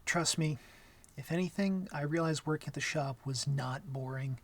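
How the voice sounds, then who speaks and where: A young man playing an awkward, gentle vampire in an intimate ASMR roleplay, talking softly and hesitantly with a wry streak. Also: fairly high pitch, normal speed. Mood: relaxed, amused. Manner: hesitant, soft-spoken, wry.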